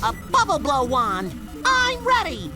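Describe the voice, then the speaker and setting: A man voicing an excitable, naive cartoon sea sponge in a video game, with an eager, childlike manner. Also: extremely high pitch, measured pace, heavily acted. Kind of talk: animated game cutscene acting. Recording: game music behind the voice.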